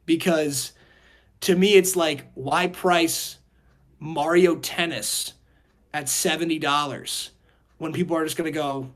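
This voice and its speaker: High-voiced young man, emphatic. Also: steady tone, slow, fairly bright tone. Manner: emphatic, rambling, slangy. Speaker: podcast host. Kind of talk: casual opinionated podcast discussion.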